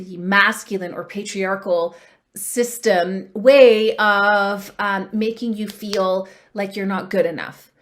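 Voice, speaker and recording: A woman in her forties, a dating coach, talking earnestly and upbeat to camera with frequent ums as she gives advice. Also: medium pitch. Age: 40s. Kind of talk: solo advice talk to camera.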